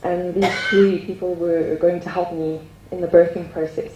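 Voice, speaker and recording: A woman's voice: fairly low pitch, level tone, moderate pace. Woman, earnest spiritual testimony, hesitant with many fillers, echoey room with light noise. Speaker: group speaker sharing testimony.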